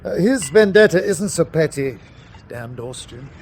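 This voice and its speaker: Earnest high-voiced U-boat commander. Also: male voice, animated, unhurried, a little mellow, a little hiss or hum. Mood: uneasy, subdued. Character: troubled, dutiful German U-boat commander. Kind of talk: wartime radio drama acting.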